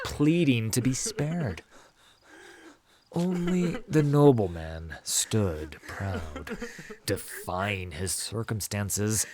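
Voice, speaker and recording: A man narrating a scripted fantasy story in a theatrical, measured and emphatic way. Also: lowish voice. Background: music.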